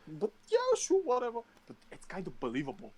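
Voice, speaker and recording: A man's voice: high-pitched, wildly swooping pitch, a little fast, darkish tone. A man co-hosting a podcast, talking about a film in a blunt, sweary, enthusiastic way. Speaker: podcast co-host. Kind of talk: casual film chat.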